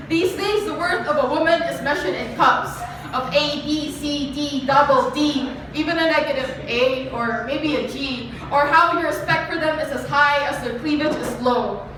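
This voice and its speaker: A woman's voice: high voice, normal speed, hardly any pauses, fairly bright tone, recorded from a distance. A young woman with a Filipino accent performing spoken word poetry live. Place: live performance venue, heard from a distance. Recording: echoey venue from afar, noisy.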